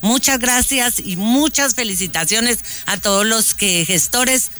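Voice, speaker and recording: A woman's voice: fairly high pitch, a little slow, sharp tone, faint music behind. A woman with a Colombian coffee-region accent, a tourist speaking on live radio in a gracious, enthusiastic way. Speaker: visiting tourist. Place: outdoors in a town park.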